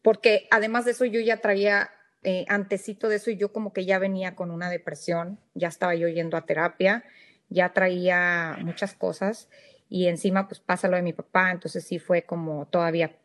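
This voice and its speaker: A woman's voice: on the low side, flat delivery, moderate pace. Candid woman from northern Mexico. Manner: candid, earnest, with fillers and restarts. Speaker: podcast guest. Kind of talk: personal storytelling in interview.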